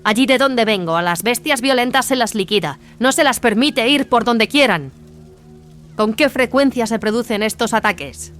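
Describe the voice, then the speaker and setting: A woman playing a stern, commanding warrior sister in an audio drama, speaking with firm, measured authority. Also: on the high side, expressive, a bit bright. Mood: cold, suspicious. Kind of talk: dramatized audio fiction acting. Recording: music behind the voice.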